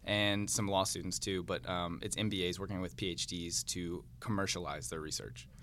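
Bright-voiced young man, dry humor. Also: low voice, steady tone, moderate pace, a little hiss or hum. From United States. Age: young adult. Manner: dry, laid-back, understated humor. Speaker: MBA student guest. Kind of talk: casual interview chat.

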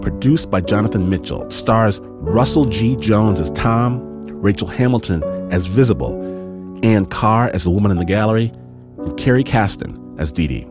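A man hosting a podcast, announcing like a showman with rhythmic, theatrical, drawn-out phrasing. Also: on the low side, animated. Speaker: podcast host. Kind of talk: showman-like radio announcing over music. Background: hip hop music.

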